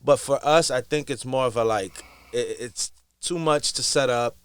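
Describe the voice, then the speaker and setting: A man's voice: medium pitch, normal speed, smooth, no room sound. A man chatting animatedly in a podcast. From Harlem, New York. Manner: animated, agreeable, street slang. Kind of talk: casual podcast chat.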